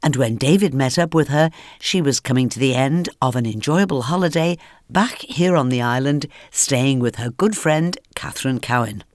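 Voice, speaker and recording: A man's voice: mid-range voice, no room sound, studio quality. A man presenting an archive radio programme, reading scripted links between items.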